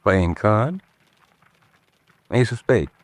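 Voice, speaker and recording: An actor playing a sceptical, curt gentleman in a radio drama, speaking in a dry, dismissive, sardonic way. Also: fairly low pitch, sing-song, drawn-out words, dry recording, exaggerated voice.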